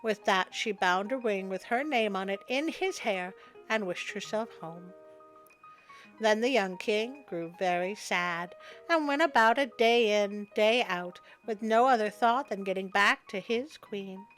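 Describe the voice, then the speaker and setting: A woman's voice: medium pitch, a little slow, fairly bright tone, soft background music. A woman storyteller narrating a folktale expressively, with wry spoken asides. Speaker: storyteller, host.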